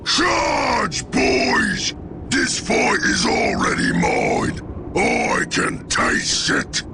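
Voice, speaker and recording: Gravelly Ork warboss for a game: boastful, brutish, slow heavy bellowing that swings wildly in pitch, music behind. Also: male voice, exaggerated voice. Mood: exultant. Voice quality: gruff, gravelly. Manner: bellowing, crude slangy broken grammar. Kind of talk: video game cutscene acting. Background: loud music.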